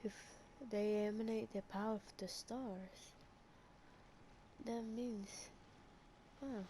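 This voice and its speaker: A woman giving casual, relaxed, musing commentary as she plays through a game. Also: medium pitch, slow pace, a little hiss or hum. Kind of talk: casual game playthrough commentary, reading out dialogue choices.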